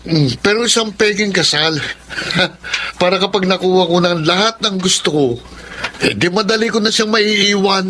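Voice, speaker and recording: A sly, deceitful lover in a radio melodrama. His voice sits high for a man and sounds conniving. Faint noise runs behind the recording. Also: moderate pace, bright, forceful tone. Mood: sly, scheming. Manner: sly, conniving, stammers when cornered. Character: scheming deceitful male suitor conning a woman. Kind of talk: Tagalog radio drama acting.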